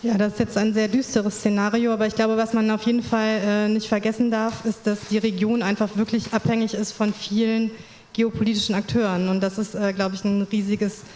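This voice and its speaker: A woman moderating a seminar discussion in a measured, analytical way. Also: medium pitch, level tone, darkish tone. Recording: echoey hall with faint noise.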